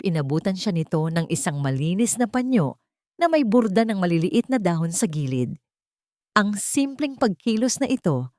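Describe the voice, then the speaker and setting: A woman with a Filipino accent reading a scripted dramatic story in a measured, solemn way. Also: medium pitch, a little mellow, no room sound, studio quality. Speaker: storyteller, narrator.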